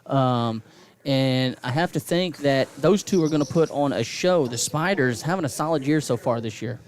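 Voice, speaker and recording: A man calling high school football on local radio from a stadium press box, conversational and quick to agree with his partner. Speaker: radio play-by-play announcer.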